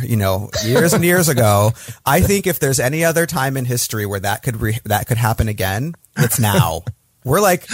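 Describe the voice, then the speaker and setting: An American man from Michigan talks as a podcast guest in casual conversation, frank and emotional, swearing freely. His pitch sits in the middle for a man. The recording is dry, with almost no room sound. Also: husky, no room sound. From Michigan, USA.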